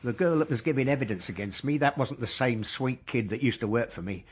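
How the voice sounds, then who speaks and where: An actor as a husky-voiced male car dealer in a radio drama, cornered by police. He blusters and justifies himself, aggrieved and sorry for himself at mid pitch. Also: fairly quick, lightly acted. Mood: defensive, aggrieved. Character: defensive, self-pitying, aggrieved male car dealer under police questioning. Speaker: complainant in a police interview.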